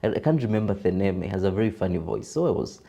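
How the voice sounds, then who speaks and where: A young Kenyan man with a deep voice, a broadcaster and voiceover artist chatting as a podcast guest, laughing easily and mixing in Swahili. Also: expressive, racing, creaky, warm tone, highs cut off. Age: young adult. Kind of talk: casual interview chat.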